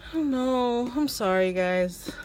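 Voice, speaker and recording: A woman's voice: a little high, animated, slow pace, a little mellow, slight background noise, rough recording. A woman co-hosting a casual film review, with a blunt, rambling, sardonic way of talking. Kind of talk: casual unscripted review chat.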